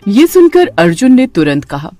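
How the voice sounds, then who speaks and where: Animated woman narrating a scary story. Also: a little high, normal speed, soft background music. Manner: dramatic storytelling. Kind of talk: scripted horror story narration.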